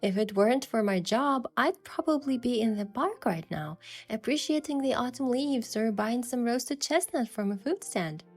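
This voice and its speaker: A woman playing an earnest, playfully cheeky young hunter heroine, mixing reflective first-person story narration with expressive video game dialogue. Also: a little high, normal speed, hardly any pauses.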